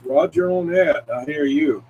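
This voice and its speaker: A man's voice: fairly high pitch, expressive, a little slow, creaky, highs cut off. A man chatting casually as host of a CB radio show, folksy and full of radio lingo. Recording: background noise.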